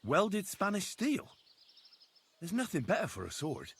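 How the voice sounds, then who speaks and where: An actor playing a young medieval blacksmith's helper in a video game, cheeky and loyal, with a dry, plain-spoken manner. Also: male voice, high voice, wildly swooping pitch, unhurried, creaky, fairly bright tone, slightly rough, full character voice. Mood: cheeky, keen. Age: young adult. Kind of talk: video game dialogue acting.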